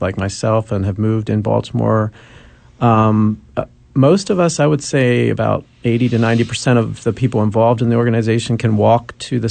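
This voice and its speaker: A man's voice: medium pitch, creaky, a little mellow, no echo. A man speaking as a guest on a radio talk show, hesitant and full of ums. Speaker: community center founder, guest. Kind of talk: radio interview answers.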